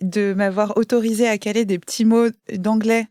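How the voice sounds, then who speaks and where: A woman's voice: medium pitch, flat delivery, moderate pace, dark tone, no echo, clean studio sound. A woman from France, a guest author, talking through her thoughts in a casual podcast chat, with lots of hesitations and restarts. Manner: thoughtful, many fillers and restarts.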